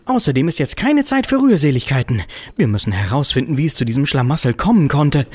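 A woman's voice: very deep voice, sing-song, quick pace, a little mellow, slightly rough, full character voice. A woman playing an earnest, devoted office adventurer in a comedic radio play, melodramatic and urgent. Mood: resolute.

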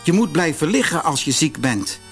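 An earnest, caring little boy from a storybook, played by a male narrator, speaks in a raised pitch with lively intonation. He sounds polite, concerned and eager to help. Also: moderate pace, faint music behind. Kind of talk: storybook character voice.

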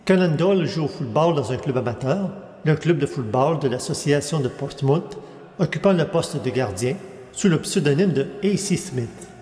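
A man reading a scripted biographical narration in a steady, measured way, working through dates and names. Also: fairly high pitch. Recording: echoey hall with faint music.

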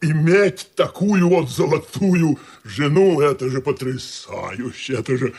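Smitten, hesitant first mate, husky highish voice, wondering manner, comic radio play. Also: male voice, lively intonation, unhurried, darkish tone, exaggerated voice. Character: curious, bashful, smitten ship's first mate.

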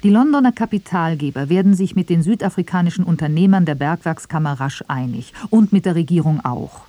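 A woman narrates a scripted radio feature, debating as she goes. Her voice is fairly low and mellow. The studio sound is very dry. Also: lively intonation, brisk, no echo.

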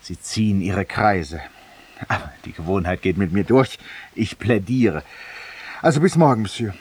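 Suave barroom judge-penitent, low husky voice, polished irony, loquacious, self-mocking, 1950s radio drama. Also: male voice, moderate pace, darkish tone. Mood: dreamy, eloquent. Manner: polished, loquacious, ironic, rhetorical. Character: urbane, talkative, self-mocking judge-penitent, a tavern regular. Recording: distant-sounding 1950s radio recording.

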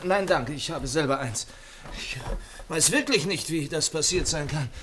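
An actor playing a detective's loyal, flustered friend in a German radio play, protesting and hesitant. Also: male voice, on the high side, lively intonation, normal speed, fairly bright tone, slight background noise.

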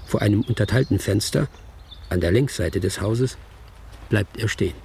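A man's voice: on the low side, normal speed, creaky, a little mellow, a little hiss or hum. A man playing a detached narrator who reads out a film script in short, observational present-tense sentences for a radio drama. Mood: detached, suspenseful. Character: detached male narrator reading out a film screenplay. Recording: music under the voice.

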